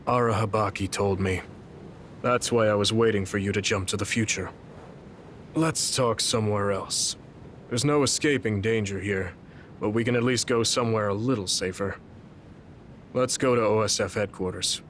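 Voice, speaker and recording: An actor playing a weary, blind old retired hero in a video game, speaking gravely and slowly measured, full of regret. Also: male voice, fairly low pitch, a bit bright, slight background noise, close to an everyday voice. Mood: resigned, explanatory. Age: older. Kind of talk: dramatic video game voice acting.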